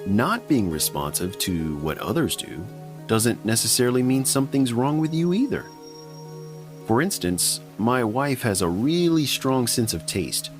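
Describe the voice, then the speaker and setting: A man's voice: medium pitch, very animated, a little slow, music in the background. A middle-aged man hosting a podcast, talking in a wry, chatty, self-mocking way. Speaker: podcast host. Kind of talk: casual scripted podcast narration.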